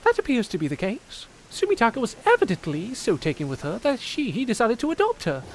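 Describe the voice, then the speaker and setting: A woman voicing an expressive character in a visual novel, in animated game dialogue. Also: a little high, brisk, a little hiss or hum, poor audio. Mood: explanatory.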